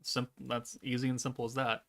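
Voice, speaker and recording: Male streamer, 30s, gravelly and deadpan, laid-back monotone chat. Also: medium pitch, fairly quick. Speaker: gaming streamer. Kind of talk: casual live-stream chat, reading chat aloud.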